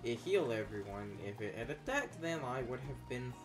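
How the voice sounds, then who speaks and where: A man chatting casually as a gaming commentator, halting and repeating words as he explains things. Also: mid-range voice, animated, over music. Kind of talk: casual unscripted gaming chat.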